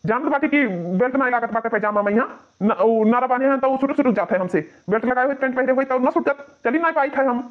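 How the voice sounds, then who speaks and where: A man as a high-voiced, nagging Awadhi cartoon husband. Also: quick pace, phone-quality sound. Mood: grumbling. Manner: nagging, pleading, grumbling. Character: nagging, exasperated young village husband, anxious about honour. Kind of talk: exaggerated cartoon comedy bickering.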